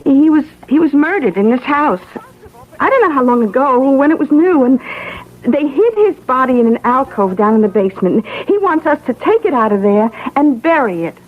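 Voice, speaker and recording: Naive, kindhearted gangster's wife, very high swooping voice, earnest and chatty, vintage radio drama. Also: female voice, sing-song, normal speed, narrow, tinny audio. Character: kindly, naive, plucky wife of a small-time crook. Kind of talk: classic radio drama acting.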